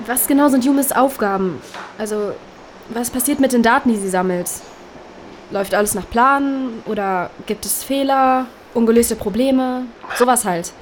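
A woman acting a high-voiced, hesitant young woman. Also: normal speed, slight background noise, natural voice. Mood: skeptical. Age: young adult. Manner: hesitant, colloquial, mumbled asides. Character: troubled, stubborn young woman DJ, withdrawn and sarcastic. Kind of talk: naturalistic audio drama dialogue.

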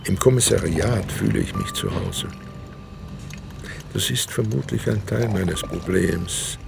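An actor playing a dry, easily amused middle-aged police inspector in a naturalistic radio drama, speaking conversationally with some hesitation. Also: male voice, deep voice, wildly swooping pitch, a little slow, gravelly, over music, full character voice. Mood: reflective, wry. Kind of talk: naturalistic German radio drama, with inner monologue.

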